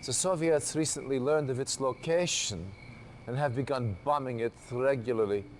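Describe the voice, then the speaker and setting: A man's voice: mid-range voice, moderate pace, a little mellow, a little hiss or hum, natural voice. An actor playing a firm male military officer briefing a subordinate in a game cutscene. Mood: commanding. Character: stern male military commander giving orders.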